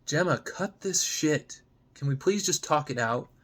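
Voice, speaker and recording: A man's voice: fairly high pitch, lively intonation, measured pace, a bit bright, pitched above the performer's own voice. Man acting a pleading, lovesick young man. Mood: frustrated, pleading. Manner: pleading, earnest, exasperated. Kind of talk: dramatic screenplay reading.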